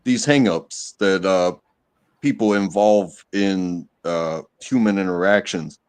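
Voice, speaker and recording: Halting low-voiced American man. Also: a little mellow, clean tone, no echo. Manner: halting, many repeated words and fillers. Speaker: stream guest. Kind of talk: casual live-stream chat.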